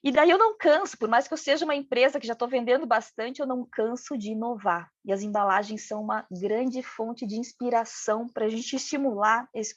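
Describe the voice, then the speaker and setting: A woman's voice: on the high side, no room sound, muffled recording. A woman with a regional Brazilian accent giving a training lecture, speaking as if she were a business owner and often adding "né?". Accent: regional Brazilian Portuguese. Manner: talks in first person as a business owner, many "né" tags. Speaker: packaging design instructor.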